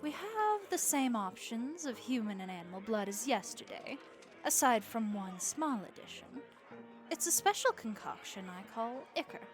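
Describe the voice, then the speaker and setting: A woman's voice: a little high, sing-song, normal speed, faint music behind. A woman playing a friendly, worldly vampire innkeeper in a comforting audio roleplay, chatty and encouraging with a wry, folksy way of talking. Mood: hospitable, playful.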